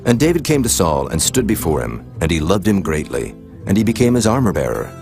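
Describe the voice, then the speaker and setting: A man with a deep voice narrates scripture. His delivery is formal and solemn, with clear music running beneath. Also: sing-song, a little fast, darkish tone. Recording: music bed under the voice.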